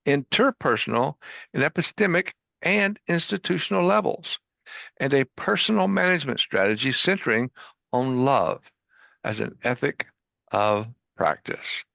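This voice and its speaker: A man's voice: medium pitch, expressive, moderate pace, gravelly, bright, forceful tone, dry recording, lo-fi sound. A man hosting an online show, introducing his guest with upbeat enthusiasm over a video call. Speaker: host.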